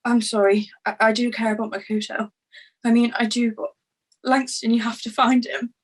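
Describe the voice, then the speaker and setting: A woman acting a shaken, grieving young crime witness in a screenplay table read, with halting delivery. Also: a little high, level tone, close to an everyday voice. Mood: distressed, unsure.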